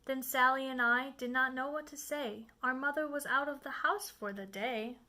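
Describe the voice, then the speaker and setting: A woman reading a children's story aloud as an expressive narrator, with emphatic, dramatic phrasing. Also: sits high, moderate pace, slight background noise. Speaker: storybook reader.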